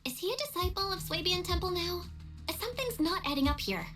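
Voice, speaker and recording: Earnest young female mystic, video game dialogue, bright high register, very expressive. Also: fairly quick. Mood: puzzled. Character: earnest, inquisitive young woman with mystical techniques. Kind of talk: English video game dub acting. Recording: game music behind the voice.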